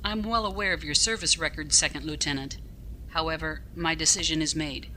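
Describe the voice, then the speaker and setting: Casting: a woman as a no-nonsense female starship captain, sharp and authoritative, slightly low register, audio drama with background hum. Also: fairly quick, rough recording. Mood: stern, firm. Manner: clipped, formal, authoritative. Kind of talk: scripted science fiction audio drama acting. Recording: steady background ambient noise.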